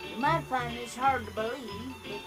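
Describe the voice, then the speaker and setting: Here is a woman acting out an old country granny pony from a cartoon, with a Southern American drawl. The voice sits a little high and is homespun, wise and mildly scolding. Music plays clearly behind it. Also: animated, normal speed, lightly acted. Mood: wistful. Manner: homespun, gently scolding storyteller. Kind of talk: fan comic dub character acting.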